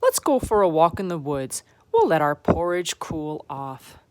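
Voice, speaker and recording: A woman voicing an indignant father bear in a children's story, exclaiming loudly. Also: low voice, big pitch swings, unhurried. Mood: cheerful. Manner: loud, exclaiming. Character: adult male father bear, gruffly indignant.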